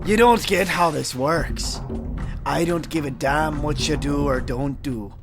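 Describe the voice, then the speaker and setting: Male actor as brash press-gang officer, gravelly, blunt, taunting, fantasy audio drama, music in the background. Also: a little high, a little slow. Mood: menacing. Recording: loud music behind the voice.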